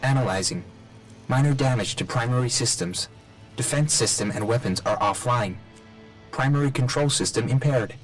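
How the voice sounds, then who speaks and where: An actor playing an emotionless military robot drone in a video game, giving literal, clipped status reports. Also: male voice, medium pitch, moderate pace, soft background music, natural voice, low-quality recording. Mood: flat, mechanical.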